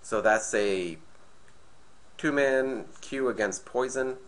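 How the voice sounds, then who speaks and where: A man with a slightly raspy, nasal voice from being sick, casually commentating a card game match and thinking aloud as he plays. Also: medium pitch, slow. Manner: thinking aloud, hesitant restarts. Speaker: gameplay commentator.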